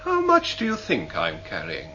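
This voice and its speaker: A man's voice: deep voice, wildly swooping pitch, moderate pace, pushed and bright, heavily acted. An actor playing a penniless, defensive male passenger in a sci-fi radio drama, earnest and indignant. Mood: wary, challenging. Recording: muffled, hissy old radio recording with hum.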